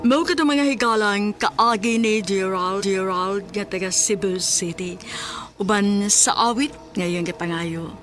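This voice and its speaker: A Cebuano Filipino woman presents a scripted radio drama program. She speaks slowly at a medium pitch, with faint music behind her. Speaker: radio drama host and narrator.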